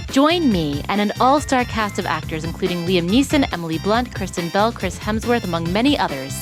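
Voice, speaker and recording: A woman announcer reading a scripted, upbeat podcast promo. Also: mid-range voice. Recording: music under the voice. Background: music.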